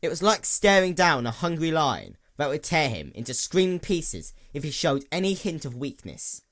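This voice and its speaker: Man narrating a story with lively, swooping intonation. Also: fairly high pitch, normal speed. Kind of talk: dramatic scripted narration of fan fiction.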